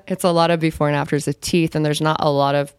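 A young woman who is losing her voice and sounds raspy, talking candidly in long explanations as a podcast guest. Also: sits low, fairly even intonation, brisk, no room sound, studio quality. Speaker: podcast guest, brand consultant. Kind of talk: casual podcast chat.

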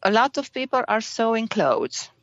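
Female podcast guest, Romanian accent, halting conversational delivery, dry but somewhat lo-fi sound. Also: on the high side, very animated, no echo. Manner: halting, searching for words in non-native English. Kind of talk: casual interview chat.

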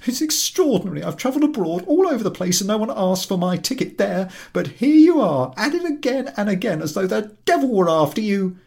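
A man's voice: high-pitched, sing-song, a little fast, gravelly, few pauses, a little mellow, pitched above the performer's own voice, heavily acted. A man voicing a scraggy, woebegone invalid railway passenger in a story reading, moaning and querulous with indignant complaint.

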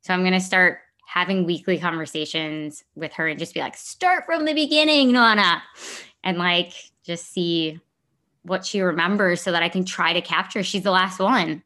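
A woman's voice: lowish voice, moderate pace, bright, forceful tone. A woman co-hosting a podcast in casual, unscripted chat, with informal, slangy, sweary phrasing. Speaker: podcast co-host.

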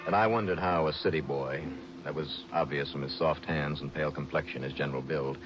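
Very deep-voiced radio detective. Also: male voice, fairly quick. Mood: grave narration. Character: calm, steady male private investigator, also narrating. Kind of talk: 1960s radio drama acting. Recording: muffled old radio recording with music.

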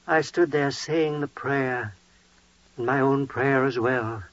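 An actor playing a devout, awestruck priest and father in 1940s radio drama, solemn, halting and declamatory. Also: medium pitch, no echo, natural voice. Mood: awed recounting. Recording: muffled old 1940s radio recording.

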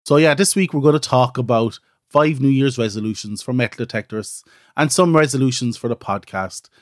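A man's voice: mid-range voice, a little fast, no room sound, studio quality. A middle-aged Irish man hosting his own podcast in a casual, rambling, self-deprecating chat full of "so" and "you know". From Ireland. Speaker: podcast host.

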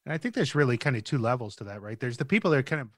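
Male host, relaxed encouraging interviewer, mid voice, dry recording. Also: mid-range voice, racing, no echo. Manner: casual, encouraging questions. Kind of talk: conversational interview.

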